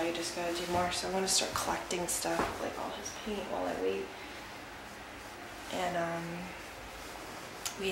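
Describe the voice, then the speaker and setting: A woman's voice: lowish voice, gravelly. A young woman vlogging casually as she walks through an empty house, rambling with lots of fillers, filming on a handheld camera. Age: young adult. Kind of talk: casual vlog. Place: empty house with bare rooms, indoors. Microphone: handheld camera at arm's length. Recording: echoey empty room, audible noise.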